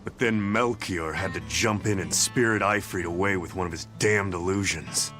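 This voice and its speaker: An actor playing a brash male spirit and brawler in a game, talking in a rough, swaggering way. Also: deep voice, moderate pace, bright, forceful tone, soft background music.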